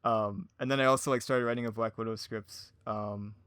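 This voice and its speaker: A man's voice: fairly low pitch, steady tone, a little fast, a bit bright, clear, resonant tone, no room sound, clean studio sound. A man chatting casually as a podcast guest, rambling, giggly and self-deprecating. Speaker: podcast guest, audio drama creator. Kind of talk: casual chat.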